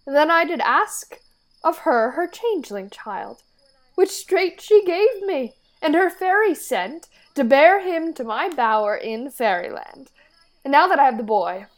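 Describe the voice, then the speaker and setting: A woman playing a commanding fairy king in an amateur Shakespeare radio play, declaiming verse in a measured way. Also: high-pitched, low-quality recording. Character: commanding, scheming fairy king, regal and composed.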